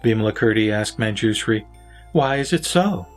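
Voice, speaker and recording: A man reciting scripted religious scripture as a narrator, in a formal, measured, declamatory manner. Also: fairly low pitch, lively intonation. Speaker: narrator and reader. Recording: background music under the voice. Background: music.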